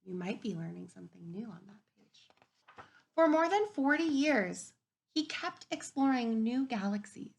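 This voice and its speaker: A woman teacher reading a picture book aloud to young children, gentle and encouraging, often dropping to a soft whisper. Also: fairly high pitch, expressive, measured pace, vocal fry. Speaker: kindergarten teacher. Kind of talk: read-aloud storybook lesson for young children.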